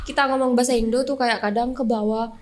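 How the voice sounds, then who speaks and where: A woman's voice: on the high side, fairly even intonation, fast talker. A young woman from Jember hosting a student podcast, asking questions in a curious way and laughing often. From Jember, East Java. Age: young adult. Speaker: host. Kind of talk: casual interview.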